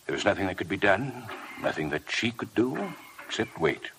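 An actor in a 1950s radio drama playing a dignified, reserved male doctor, husky and formal. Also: lowish voice, big pitch swings, moderate pace, a bit bright, a little hiss or hum, rough recording. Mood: grave.

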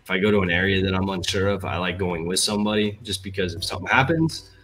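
A man's voice: low voice, fast talker, a bit bright, soft background music. Chatty young man on a headset. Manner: chatty, reads viewer comments aloud. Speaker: livestream host. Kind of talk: casual livestream chat. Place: indoor room, gaming chair.

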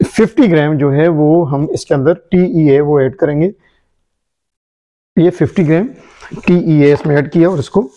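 A man explaining a formulation step by step, unhurried and hesitant, in an indoor workshop. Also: fairly high pitch, mellow, highs cut off. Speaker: chemistry channel presenter. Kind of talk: hands-on formulation demonstration.

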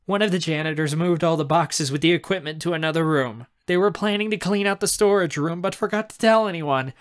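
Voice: medium pitch, animated, a little slow, fairly bright tone, no echo, studio quality, pitched above the performer's own voice. A narrator voicing an anxious, relieved aunt, speaking earnestly in an audiobook story.

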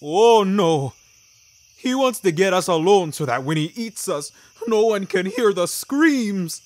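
A man acts out a suspicious landlord in an audio story. The voice is pitched high and loud, melodramatic and accusing, always fretting and complaining. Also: lively intonation, a little slow. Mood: panicked.

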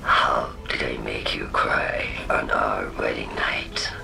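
A menacing machine-like female villain from a video game, extremely low and thick with creak. She speaks in a cold, detached half-whisper over music. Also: slow pace, heavily acted. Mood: cold, probing. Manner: clinical, detached, interrogating. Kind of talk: dramatic video game dialogue.

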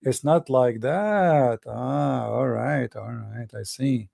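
A man's voice: mid-range voice, takes it slowly, vocal fry, dark tone, dry recording. A man from the Middle East, a native Arabic speaker, hosting a religious debate and questioning a caller sarcastically. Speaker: host.

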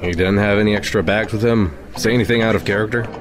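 A man's voice: lowish voice, steady tone, fast, close to an everyday voice. A man playing a methodical, skeptical police investigator in an audio drama. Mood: probing, formal.

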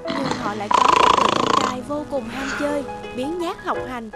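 A woman's voice: sits high, moderate pace. A woman giving scripted fairy-tale narration as a cartoon's storyteller. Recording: music behind the voice.

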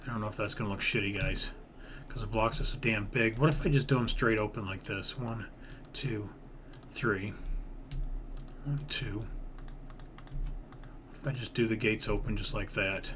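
A man's voice: fairly low pitch, normal speed, long pauses, fairly bright tone, a little hiss or hum. A man giving casual let's-build gaming commentary, rambling and thinking aloud as he talks. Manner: rambling, half-muttering counts, mild swearing. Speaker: gaming video creator narrating his own build series. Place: indoors at a computer.

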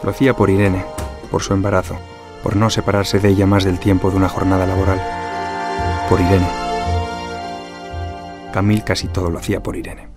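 Quick, low-voiced detective narrator. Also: male voice, level tone, over loud music. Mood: reflective. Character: observant male deputy detective narrating the case. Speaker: narrator and actor in an audio crime drama. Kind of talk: scripted first-person noir narration.

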